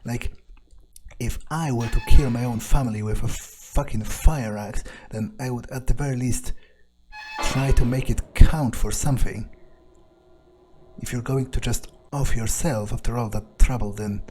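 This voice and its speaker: A man's voice: medium pitch, measured pace, dark tone, soft background music, poor audio. A man thinking aloud in improvised in-character video game commentary, musing and sardonic in an understated, casually profane way. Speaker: gamer narrating an in-character playthrough.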